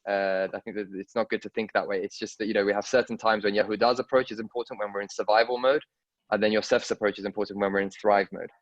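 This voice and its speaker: A man's voice: fairly low pitch, fairly even intonation, fast, no room sound. Polite male host, dull dry call audio. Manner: brief, polite, encouraging. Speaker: class host. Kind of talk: hosting an online class. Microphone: video call.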